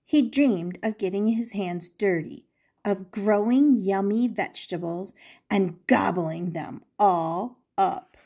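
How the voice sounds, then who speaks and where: An older woman reading a picture book aloud to young children as a grandmother would, expressive and emphatic, repeating phrases and asking questions. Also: medium pitch, slow pace, breathy edge.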